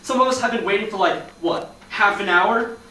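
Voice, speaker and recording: A man's voice: sits high, normal speed, sharp tone. A man playing an irritated, fussy, sarcastic businessman in a staged play reading. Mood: indignant. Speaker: narrator and actor. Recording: echoey hall from far off, faint noise.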